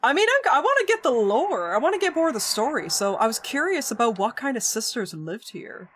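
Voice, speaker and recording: A woman streamer chatting casually with her viewers during a live stream of a visual novel, animated and reactive. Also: on the high side, brisk, a little hiss or hum.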